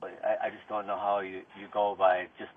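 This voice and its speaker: A man under arrest talking informally with police, insistent and protesting, halting and often repeating himself. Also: lowish voice, level tone. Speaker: arrested murder suspect. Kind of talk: informal back-and-forth with police during arrest. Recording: noisy, tinny police audio recording.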